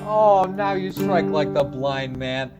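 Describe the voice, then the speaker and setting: Woman playing bantering shakespeare gentleman, bit low, slow n theatrical, lively pitch, guitar behind. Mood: bantering, piqued. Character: cocky, wounded-pride gentleman wit and bachelor soldier. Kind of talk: Shakespearean radio play acting.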